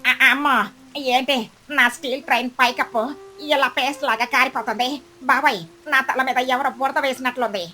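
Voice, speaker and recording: A woman's voice: sits high, steady tone, moderate pace, pushed and bright, poor audio. A woman playing a boastful, stingy, scornful mother crow in a children's cartoon story, with a sneering, scolding manner. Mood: panicked.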